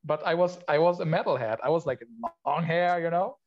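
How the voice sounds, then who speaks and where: Podcast guest: man from Poland, fairly high voice, stop-start chat, dull recording. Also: faint music behind. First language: Polish. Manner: halting, with many restarts and laughs. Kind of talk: casual chat. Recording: muffled lo-fi sound.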